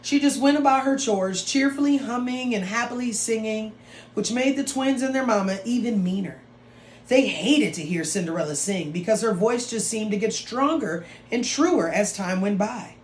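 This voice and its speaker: A woman teacher reading a story aloud to students in an expressive, dramatic way, with drawn-out phrases and playful asides. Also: mid-range voice. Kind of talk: expressive read-aloud of a picture book for students. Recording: roomy echo with some background noise.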